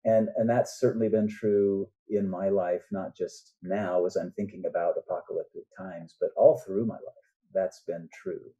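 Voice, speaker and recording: A man's voice: low-pitched, fairly even intonation, normal speed, warm tone, muffled recording. A man speaking as a guest author in a casual interview, earnest and reflective as he explains his thoughts.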